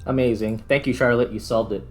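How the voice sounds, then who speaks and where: A man giving casual gameplay commentary, thinking aloud in a halting, musing way. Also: mid-range voice, steady tone, soft background music. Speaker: gaming video host.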